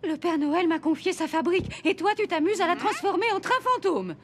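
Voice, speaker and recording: A very high, prim voice over music, that of a fussy female workshop manager in a cartoon. She sounds anxious and easily aggrieved. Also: sing-song, fairly quick. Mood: reproachful. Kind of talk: animated cartoon voice acting in French.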